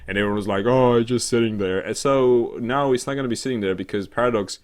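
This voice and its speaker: A man co-hosting a casual gaming talk show, rambling and halting, with an irreverent, sarcastic, sweary way of talking. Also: mid-range voice. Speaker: co-host of a gaming news show. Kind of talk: casual chat about games news.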